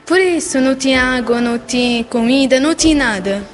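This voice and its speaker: Teenage girl in halting learner's Portuguese. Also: sits high, long reverb tail. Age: teens. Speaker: interviewed student. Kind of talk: news interview.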